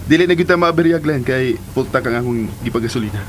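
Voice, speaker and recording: An actor playing an attentive, flirtatious, protective suitor in a radio drama, coaxing and insistent, with a Cebuano accent. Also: male voice, mid-range voice, quick pace, music in the background, close to an everyday voice. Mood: reassuring. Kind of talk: Philippine radio drama acting. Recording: noisy, car sound behind.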